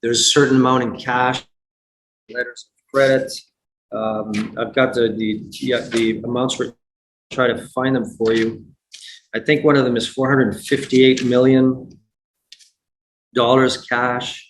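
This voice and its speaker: Male investor guest, mid-pitched, stop-start analysis, roomy sound with faint noise. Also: little pitch movement. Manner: halting, with frequent repeats and false starts. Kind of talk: unscripted earnings call commentary.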